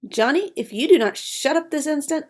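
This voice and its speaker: A woman's voice: high-pitched, animated, moderate pace. A woman playing a haughty, scheming society lady in an audio drama, with an indignant, defensive manner. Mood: betrayed, angry.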